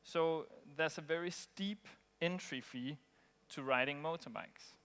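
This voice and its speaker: A man from Denmark, giving a relaxed conference talk with frequent ums and pauses. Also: a little high, clean tone. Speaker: conference speaker. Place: conference hall. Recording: long hall reverb.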